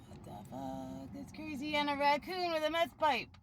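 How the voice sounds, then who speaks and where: A woman's voice: sits high, steady tone, moderate pace, vocal fry. A woman talking casually and informally, telling an improvised story in a rambling way with plenty of filler "like".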